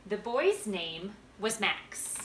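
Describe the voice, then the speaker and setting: A woman's voice: medium pitch, big pitch swings, takes it slowly. A woman in her thirties praying and reading aloud for a storytime. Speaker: storytime reader. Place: living room, indoors. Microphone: camera a few feet away. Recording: roomy home recording, faint background noise.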